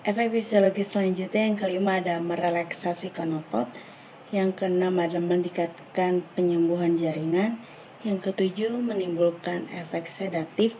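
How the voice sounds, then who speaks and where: A woman's voice: on the low side, fairly even intonation, moderate pace, warm tone. A young woman, a student reading out points in a prepared presentation. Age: young adult. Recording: dull, faintly noisy recording.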